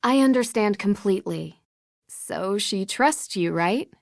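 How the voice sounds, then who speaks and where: An actress voicing a polite, inquisitive young woman detective in a video game, asking in a measured, probing way. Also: mid-range voice, lively intonation, vocal fry, no room sound, studio quality.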